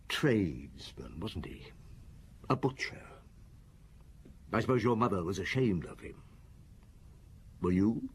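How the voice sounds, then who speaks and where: A man playing a husky, relentless police chief. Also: sits low, full character voice. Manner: probing, cutting, unhurried interrogation. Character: shrewd middle-aged male police chief, relentless. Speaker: police chief interrogating a suspect. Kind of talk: radio drama acting.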